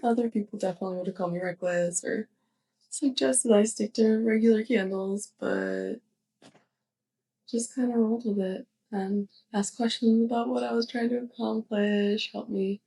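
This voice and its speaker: A woman playing a young, clumsy, affectionate witch neighbor in a soft, hesitant ASMR roleplay monologue, speaking straight to the listener. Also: medium pitch, expressive, creaky, slightly rough, poor audio. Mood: fond, musing. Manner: hesitant, halting, giggly.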